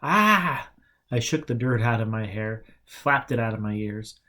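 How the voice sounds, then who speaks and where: A man's voice: lowish voice, steady tone, moderate pace, a bit bright. Man reading a story aloud. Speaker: audiobook reader. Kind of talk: storybook narration with acted dialogue.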